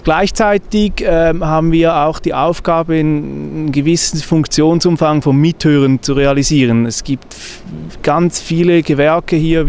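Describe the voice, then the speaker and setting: A man in his thirties with a Swiss German accent explains technical work haltingly, as an intercom technician speaking outdoors into a handheld microphone. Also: a little high, darkish tone. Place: outdoors on an open-air stage. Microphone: handheld microphone held at chest. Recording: clear outdoor traffic and ambient noise.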